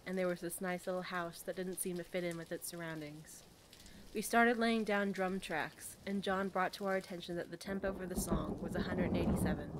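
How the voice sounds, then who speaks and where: A woman's voice: fairly low pitch, slight background noise. A woman co-hosting a podcast, moving between casual chat and reading passages aloud. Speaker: podcast co-host.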